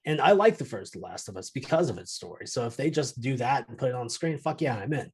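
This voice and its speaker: A man's voice: mid-range voice, animated, fast, husky. A man chatting casually as a podcast panelist, emphatic and prone to swearing. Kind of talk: casual chat about films and games.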